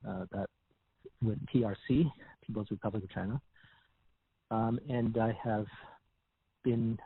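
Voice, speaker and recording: A man in his fifties from Taiwan, a visiting scholar, answering an interview in a measured way with frequent pauses. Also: lowish voice, breathy edge. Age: 50s. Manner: measured, pausing often. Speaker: visiting religious studies scholar. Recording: tinny phone-like line.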